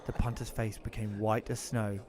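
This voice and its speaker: A man's voice: lowish voice, little pitch movement, moderate pace, darkish tone, slight background noise, natural voice. A man playing an awkward, apologetic widower telling his story in an audio drama, with a hesitant, self-conscious manner. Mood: storytelling. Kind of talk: first-person audio drama narration and dialogue.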